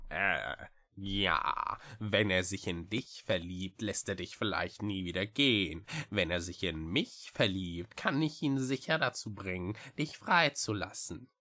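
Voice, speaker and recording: Grumpy old-school witch, really deep n slow, sighs, grudging, storybook. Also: animated, sharp tone, exaggerated voice. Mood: hesitant, embarrassed. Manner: slow, drawling, grudging, sighing. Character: grumpy, weary female witch-like creature, reluctant, sardonic. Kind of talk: audiobook character voice.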